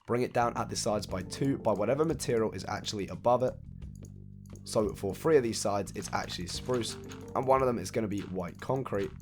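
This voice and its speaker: Croaky, low-voiced man guiding a build. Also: fairly quick, a little mellow. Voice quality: raspy. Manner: chatty, counts blocks aloud, laughs off his own mistakes. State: hoarse, croaky after a cold. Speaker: video game building tutorial host. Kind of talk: casual step-by-step gameplay walkthrough. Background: faint background music.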